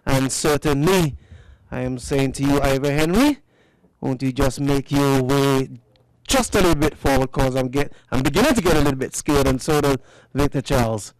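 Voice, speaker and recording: A man's voice: mid-range voice, normal speed, no echo, low-quality recording. A man commentating on a live cricket match from a commentary booth, chatty and teasing as he interviews a guest. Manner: chatty, teasing, fond of asking follow-up questions. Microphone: commentary booth microphone.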